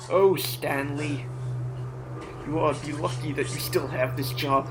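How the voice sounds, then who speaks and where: A man voicing a cruel middle-aged boss who mocks and bullies his worker, sneering and cackling, in a comedy sketch. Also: a little high. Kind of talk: comedy voice acting.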